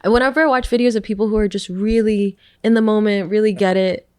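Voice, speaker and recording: A woman from New York City chatting casually as a podcast guest, rambling, laughing often and letting her sentences trail off softly. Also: mid-range voice, fairly even intonation, fast, smooth, no echo, clean studio sound. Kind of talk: casual chat.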